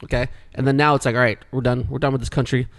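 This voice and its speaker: A man's voice: mid-range voice, fairly even intonation, racing. A man in his forties, a podcast co-host telling a long, rambling story with lots of swearing and restarts. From Canada. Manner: rambling, profane, restarts often. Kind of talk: casual storytelling.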